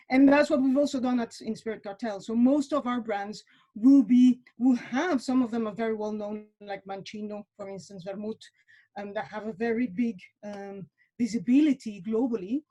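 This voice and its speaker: A woman's voice: fairly high pitch, dark tone. A woman in her forties from Mexico, talking casually as a webinar guest, with many fillers and repeated words. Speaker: webinar guest, wine industry professional.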